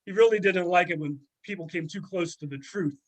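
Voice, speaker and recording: A man's voice: sits high, a little fast. A man playing a sardonic, gossipy middle-aged former student in an amateur readers theater drama. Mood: mocking. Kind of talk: amateur readers theater, conversational script reading.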